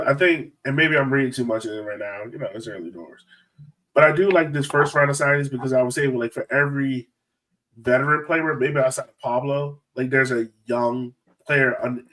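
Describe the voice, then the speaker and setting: A man co-hosting a soccer fan podcast, chatting casually in a playful, animated, slangy way. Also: medium pitch, fairly quick, far from the mic, poor audio. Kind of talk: casual sports chat.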